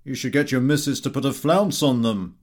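A man's voice: mid-range voice, fairly quick, close to an everyday voice. A man voicing a brash, rude male party guest who teases bluntly in an audiobook of a comic novel. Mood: mocking. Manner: teasing, blunt, insulting.

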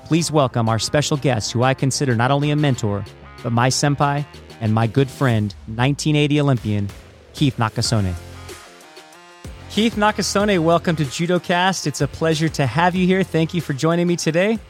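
A man's voice: fairly high pitch, moderate pace, faint music behind. A middle-aged man hosting a podcast, moving between a scripted introduction and casual interview talk. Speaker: podcast host.

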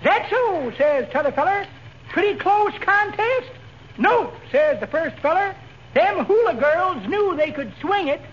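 An actor playing a jolly old American codger who tells corny jokes in a folksy, cackling drawl, in 1940s radio comedy style. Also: male voice, extremely high pitch, sing-song, fairly bright tone, full character voice. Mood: jovial. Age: older. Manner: folksy, drawn-out storytelling with cackles. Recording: muffled, noisy 1940s radio, studio audience.

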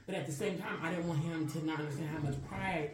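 A woman's voice: sits low, fairly even intonation, fast talker. A woman co-hosting a podcast, talking earnestly and reflectively in long winding sentences. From Chicago. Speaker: podcast co-host. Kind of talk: casual podcast conversation. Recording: roomy and distant from the mic, faint noise.

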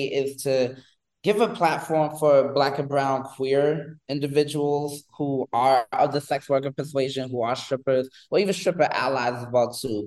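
A man in his thirties from New York, a guest on an interview show, talking in an animated, rambling way with lots of fillers. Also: mid-range voice, rough recording. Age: 30s. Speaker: guest, pole dance instructor. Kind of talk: casual interview chat.

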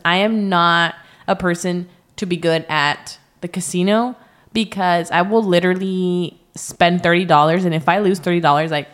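A woman's voice: on the low side, a bit bright. A young woman co-hosting a casual podcast, teasing her friend and asking playful questions. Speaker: podcast co-host. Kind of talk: casual chat.

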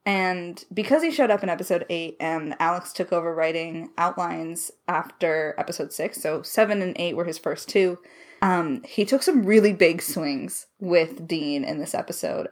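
A young adult woman talking as a writer on an online call, informal and enthusiastic, thinking aloud as she explains her creative process. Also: lowish voice. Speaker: lead writer of an audio drama. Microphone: recorded over Discord call.

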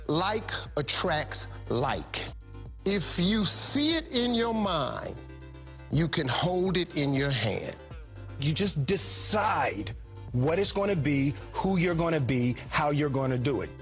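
Motivational speaker, lively, slow emphatic delivery, faint music behind. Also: mid-range voice. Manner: emphatic, preacher-like cadence. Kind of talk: motivational speech clip. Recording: clip with music underneath.

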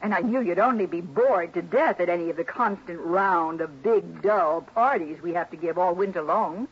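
An actress playing a chatty, warm-hearted, middle-aged wealthy hostess in a 1960s radio drama, with a breathy voice. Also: female voice, on the low side, normal speed. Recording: dull lo-fi 1960s radio broadcast.

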